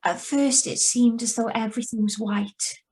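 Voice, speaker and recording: A woman acting a hesitant, high-voiced young woman. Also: fairly even intonation, moderate pace, a little mellow, natural voice. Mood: brooding, reflective. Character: troubled young woman, accident survivor, hesitant and brooding. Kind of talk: radio drama acting with first-person narration. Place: remote, on a Zoom video call. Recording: live video call reading.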